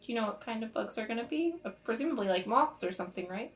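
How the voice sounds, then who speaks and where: A woman's voice: on the high side, expressive, quick pace. Woman playing a tabletop roleplaying game. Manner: informal, conversational. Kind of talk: casual in-character improvisation.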